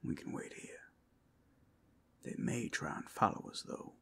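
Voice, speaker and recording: A man's voice: unhurried, pushed and bright. A man playing an easygoing, protective werewolf companion in an ASMR roleplay, talking in a casual, teasing way. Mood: hushed, teasing.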